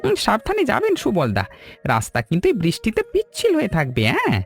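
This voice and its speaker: A man's voice: high-pitched, wildly swooping pitch, fairly quick, gravelly, faint music behind, heavily acted. A man playing a friendly, obliging village tea seller in a cartoon story, chatty and respectful. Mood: helpful. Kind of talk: animated cartoon story dialogue.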